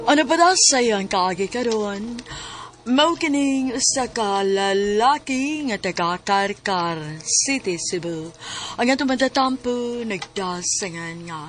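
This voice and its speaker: A woman's voice: medium pitch, lively intonation, a little slow. A woman with a Cebuano accent presenting and introducing a radio drama.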